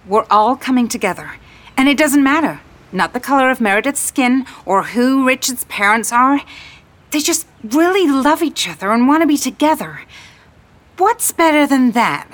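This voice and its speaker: Casting: female narrator as gushing young woman tourist for audiobook romance, pert, excitable, effusive delivery. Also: mid-range voice, normal speed, slightly rough. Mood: gushing, excited. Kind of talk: audiobook character dialogue.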